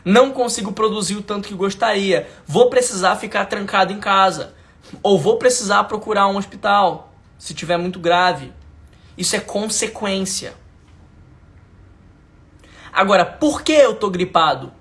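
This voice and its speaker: Emphatic high-voiced Brazilian man teaching. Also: moderate pace, a bit bright. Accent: Brazilian Portuguese. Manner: emphatic, repeats lines, asks the audience if it makes sense; informal slang, talks to viewers directly. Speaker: mentor teaching a class. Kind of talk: live online class lecture.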